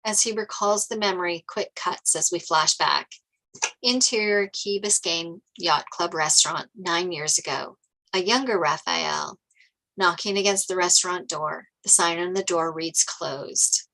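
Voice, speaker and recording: A woman's voice: lowish voice, a little slow, bright, forceful tone, rough recording. A woman reading screenplay stage directions aloud as scripted narration.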